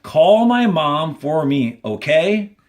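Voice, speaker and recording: A man's voice: fairly high pitch, sing-song, slow pace, darkish tone, voice pushed up. A man voicing eager, slangy teenage camp boys in a storybook, in a casual, punchy, friendly way. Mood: urgent, casual.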